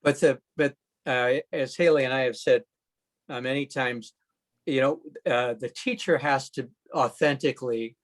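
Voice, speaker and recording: Measured man asking questions. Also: mid-range voice, steady tone. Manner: measured, probing questions with fillers. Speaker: co-host. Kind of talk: conversational interview.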